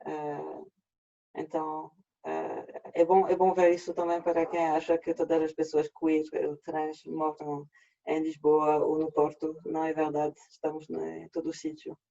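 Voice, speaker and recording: French guy presenting on a video call, stops and restarts a lot, flat mellow voice, dull lo-fi audio. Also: a little high, gravelly. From France. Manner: halting, frequent restarts. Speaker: workshop presenter. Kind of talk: online presentation.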